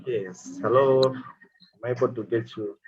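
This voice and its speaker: A man from Zambia with a Zambian accent, speaking halting, grateful remarks to a speaker with many pauses. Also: mid-range voice, fairly even intonation, a little mellow, breathy edge. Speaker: audience member asking questions. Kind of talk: casual spoken remarks. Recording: dull lo-fi call audio.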